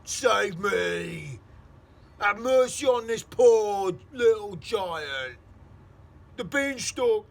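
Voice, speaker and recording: A man's voice: very high voice, creaky, bright, forceful tone, pitched above the performer's own voice, exaggerated voice. A man voicing a huge child-eating giant who bellows and begs in panic.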